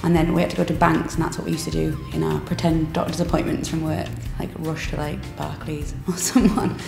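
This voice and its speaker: Young woman, bakery co-owner, gravelly low-ish voice, chatty, echoey cafe with music. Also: level tone, fairly quick. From England. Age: 20s. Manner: casual, chatty, laughs often, says "like". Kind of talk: interview answers. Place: cafe interior, seated on sofa.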